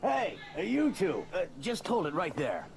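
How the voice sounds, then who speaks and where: An actor playing a gruff, suspicious, officious loading dock supervisor in a space opera audio drama, peppering orders with uhs. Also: male voice, sits high, wildly swooping pitch, normal speed, over music, full character voice. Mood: bossy.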